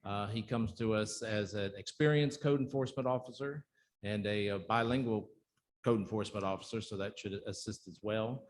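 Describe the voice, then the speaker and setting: A man serving as police chief, giving halting, measured remarks with many fillers at a town council meeting. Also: lowish voice, fairly even intonation, a little hiss or hum.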